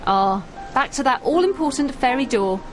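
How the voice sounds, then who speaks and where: A woman reporter reading a scripted regional news piece in a light, measured way. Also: high voice, expressive. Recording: music bed under voice-over.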